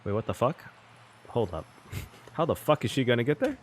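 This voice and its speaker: A man's voice: medium pitch, sing-song, moderate pace, slight background noise. A man giving casual reaction commentary as a game streamer, informal and prone to swearing.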